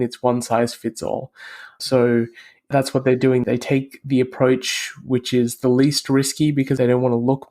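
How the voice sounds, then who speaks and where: A middle-aged man from Australia, a guest in an interview, explaining his views in a calm, reasoned way. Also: mid-range voice. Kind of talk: casual interview conversation.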